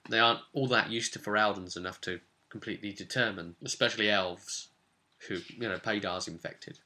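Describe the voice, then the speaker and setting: A man with a low, forceful, bright-edged voice gives an informal recap as a game master. He tells it as a story, animatedly slipping in short quoted lines as he goes. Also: a little fast. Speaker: game master recapping a tabletop role-playing session.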